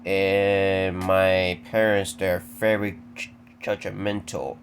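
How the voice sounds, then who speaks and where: Deep-voiced young man who stutters. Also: level tone, slight background noise. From American Deep South. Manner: stuttering, halting with repeated words. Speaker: video creator answering viewer questions. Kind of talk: casual solo Q&A.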